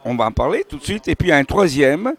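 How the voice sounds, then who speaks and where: A man speaking as a novelist in an unscripted interview about his own books, halting and searching for words as he digresses. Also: fairly high pitch, big pitch swings. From Italian family background. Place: book festival.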